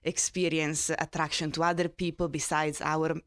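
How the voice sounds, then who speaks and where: A woman's voice: deep voice, flat delivery, normal speed, a bit bright, dry recording, clean studio sound. A woman with an Italian accent, telling her personal story as a panel speaker with self-deprecating humour. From Italy. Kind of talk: personal storytelling to a live audience.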